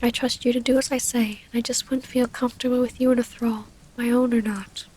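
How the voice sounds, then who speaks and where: A woman playing a devoted, gently predatory vampire lover in a soothing, breathy half-whisper for an intimate ASMR roleplay. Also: fairly high pitch, level tone, a little fast, lightly acted, rough recording. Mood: hesitant, tender. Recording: close-up with rain ambience, faint noise.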